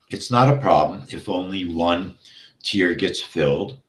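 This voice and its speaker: A man with a deep, low voice walks through a live trade at an unhurried pace. His voice is going hoarse, and he clears his throat often between steps. The sound is roomy and echoey. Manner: steady, explains step by step, often checks with "okay?". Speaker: trading instructor. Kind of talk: live trading walkthrough.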